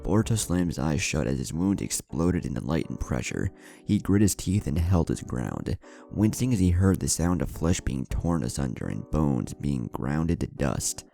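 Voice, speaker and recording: A man's voice: extremely low pitch, big pitch swings, normal speed, creaky, warm tone. A man narrating a scripted adventure story in a dramatic storytelling style. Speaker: narrator of a fan fiction story. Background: music.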